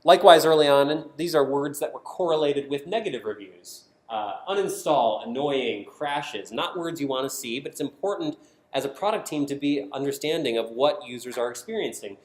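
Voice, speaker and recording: A man's voice: mid-range voice, expressive, moderate pace. An American man giving a prepared conference presentation, explaining his points emphatically in short, punchy statements. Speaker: conference speaker, design team member. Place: indoor conference session room. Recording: roomy echo of a presentation space.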